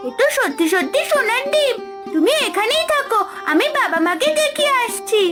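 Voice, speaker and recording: A woman's voice: extremely high pitch, very animated, normal speed. A woman voicing a kind, earnest young village girl in a cartoon, over background music. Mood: eager. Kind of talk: cartoon voice acting.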